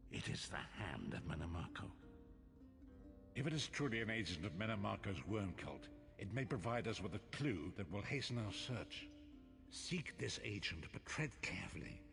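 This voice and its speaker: Deep, echoing old prophet. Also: male voice, vocal fry. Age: older. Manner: solemn, portentous, formal archaic phrasing. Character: wise old blind male prophet, grave and cryptic. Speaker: quest-giving mentor in a fantasy game. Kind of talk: dramatic fantasy video game voice acting. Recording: long hall reverb, game music underneath. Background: game music.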